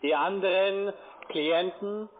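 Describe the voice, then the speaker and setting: A man working as a call centre agent, giving a casual sales pitch over the telephone. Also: slow pace. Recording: phone line, noisy call centre. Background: loud music and crowd chatter.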